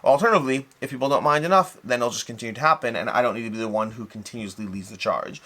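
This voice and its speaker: A man's voice: mid-range voice, very fast, bright, forceful tone. A man hosting a casual, unscripted hobby news recap, talkative and rambling, often rephrasing and qualifying what he says. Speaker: host of a board game review channel.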